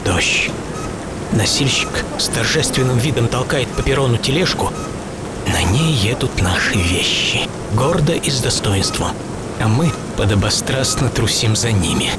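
A man's voice: mid-range voice, animated, a little slow, very noisy, lightly acted. A man performing a wry, philosophizing industrialist in dramatic first-person radio narration, musing and ironic. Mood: ironic, dignified. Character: wry, philosophizing male industrialist recalling his travelling youth. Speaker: author and narrator of a radio programme. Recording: loud music under the voice. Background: music.

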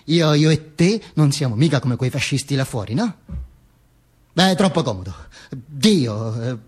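An actor playing a vain dead dictator in a theatrical monologue, pompous and halting, with stammered repetitions. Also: male voice, mid-range voice, lively intonation, husky. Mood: indignant, confiding. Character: vain dead dictator narrating from beyond the grave. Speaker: stage actor performing a monologue.

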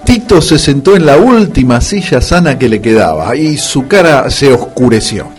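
A man's voice: medium pitch, sing-song, normal speed. A man narrating a scripted radio comedy with a Rioplatense Argentine accent. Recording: music playing under the narration.

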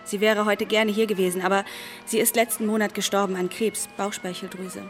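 Female radio drama lead actress, thoughtful granddaughter, musing delivery, mid pitch, light music underneath. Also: level tone, brisk, natural voice. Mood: subdued, sad. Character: pensive granddaughter of a wartime codebreaking veteran. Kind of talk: radio drama acting and narration.

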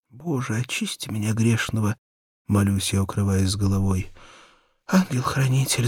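A man narrating a children's story in an expressive, theatrical first-person reading, moving between hushed and excited delivery. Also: medium pitch, breathy edge, no echo, studio quality. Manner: theatrical, animated, swinging from hushed whispers to excited exclamation. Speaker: audiobook narrator.

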